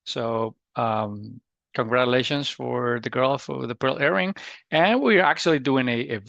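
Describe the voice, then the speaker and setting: A man's voice: medium pitch, gravelly, fairly bright tone, dry recording, dull audio. Spanish-accented man presenting a webinar. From Spain. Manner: halting, many restarts and fillers. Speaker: guest presenter. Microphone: computer mic on a video call.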